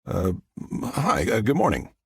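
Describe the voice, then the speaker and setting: A man's voice: lowish voice, huge pitch swings, creaky, slightly rough, no room sound, clean studio sound, pitched above the performer's own voice, heavily acted. A man playing a rattled, grieving adult son who stammers and halts through his lines in an audiobook. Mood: flustered. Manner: stammering, halting, flustered. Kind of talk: audiobook character dialogue.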